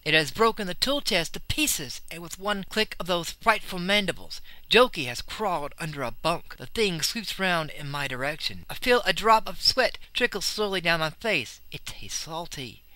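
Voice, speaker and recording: A man's voice: sits high, lively intonation, normal speed, hardly any pauses, sharp tone, no echo, voice pushed up, low-quality recording. A man playing a terrified young sailor, telling a horror tale of the sea with intense dread. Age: young adult. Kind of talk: dramatic first-person horror storytelling.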